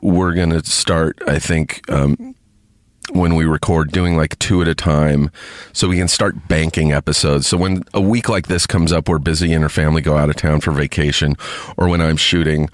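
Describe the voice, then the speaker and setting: A man hosting a podcast alone, chatting casually to his listeners in a halting, rambling way with lots of fillers, a bit sniffly from congestion. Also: very deep voice, fairly even intonation, dry recording, clean studio sound. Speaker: podcast host. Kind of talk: casual solo chat to listeners.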